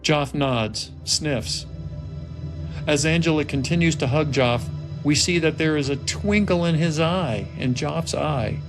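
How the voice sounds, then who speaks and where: A man's voice: a little high, measured pace. A man reading stage directions as the narrator of a film script table read, in dramatic scripted narration. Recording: music laid clearly behind the voice. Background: music and sound effects.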